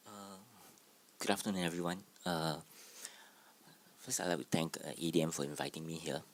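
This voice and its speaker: Low-voiced Singaporean man speaking on a panel. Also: normal speed. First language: Cantonese. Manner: hesitant, with many fillers. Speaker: theatre artistic director. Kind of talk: conference panel talk. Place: conference room.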